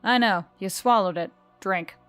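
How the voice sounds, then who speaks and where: A woman's voice: mid-range voice, unhurried, a bit bright, smooth, faint music behind, natural voice. A woman voicing a brash, bossy female oni demon aircrew member in an audiobook, with a taunting, emphatic delivery. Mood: amused, teasing.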